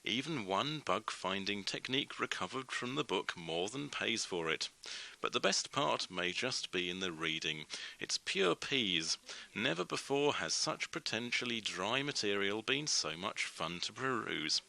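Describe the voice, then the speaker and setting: A man's voice: on the low side, very animated, measured pace, creaky, sharp tone, rough recording. A man reading news items and reviews from a script as a radio presenter.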